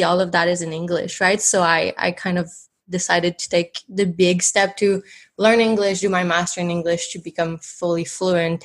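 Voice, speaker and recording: A woman in her thirties from Montreal with a Québécois French accent, French being her first language, speaking as a dietitian guest in a relaxed, thoughtful way. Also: on the low side. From Montreal, Canada. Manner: thoughtful, with frequent fillers and restarts. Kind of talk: casual interview conversation.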